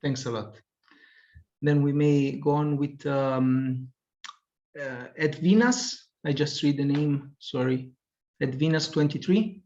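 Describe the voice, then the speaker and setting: A man's voice: a little high, takes it slowly, dark tone. Hesitant man moderating a video call. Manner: hesitant, repeats words, polite thanks. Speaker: webinar moderator. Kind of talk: hosting an online meeting. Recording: muffled video-call audio.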